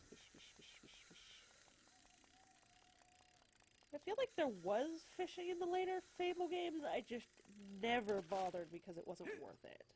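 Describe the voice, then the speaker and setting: A woman's voice: medium pitch, darkish tone. Stuffed-up woman chatting through gameplay. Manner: chatty, explains as she plays, wry asides. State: stuffed up with a cold. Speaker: let's play host. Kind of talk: casual gameplay commentary.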